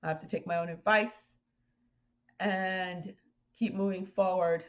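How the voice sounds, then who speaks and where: A woman from Haiti talking casually to her viewers in a vlog, animated and indignant, with fillers and restarts. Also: on the low side, measured pace. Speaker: spiritual vlogger. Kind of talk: casual spoken commentary to viewers.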